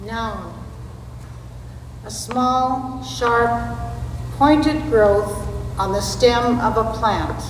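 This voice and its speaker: Slow, higher-voiced woman in echoey hall. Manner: deliberate, measured delivery. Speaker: church readers theater performer. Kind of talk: scripted dramatic recitation. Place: large reverberant church space. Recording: echoey hall, distant mic, background noise.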